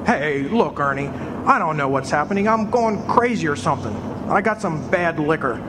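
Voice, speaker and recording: A man acts out a desperate family man in a radio play, stammering and exasperated. The pitch is high and leaps around, recorded live with faint noise in the room. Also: animated, normal speed, close to an everyday voice. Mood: frantic. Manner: stammering, agitated. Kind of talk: live radio drama acting.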